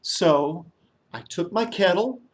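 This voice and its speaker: A man telling a children's story in an expressive, interactive way, coaxing listeners to join in. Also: high voice, takes it slowly. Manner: expressive, coaxing audience participation.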